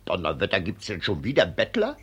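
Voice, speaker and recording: An actor playing a dismissive older army colonel in a 1940s German radio drama, with clipped military bluster. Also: male voice, deep voice, huge pitch swings, a little fast, slightly rough, full character voice. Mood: annoyed, dismissive.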